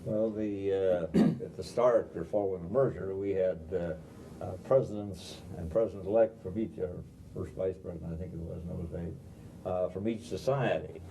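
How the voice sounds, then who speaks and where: A man's voice: moderate pace, gravelly, mellow, a little hiss or hum, rough recording. An older man from Washington state explaining past events as a panelist, unscripted. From Washington state, USA. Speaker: panelist, former society president. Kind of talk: unscripted recollection. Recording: rumbly 1990s video recording.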